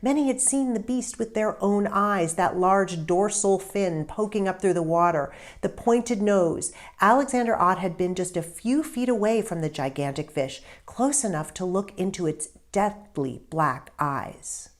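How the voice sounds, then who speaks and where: A woman author reading a story aloud in an expressive, deliberate narrating style with emphatic stresses. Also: medium pitch.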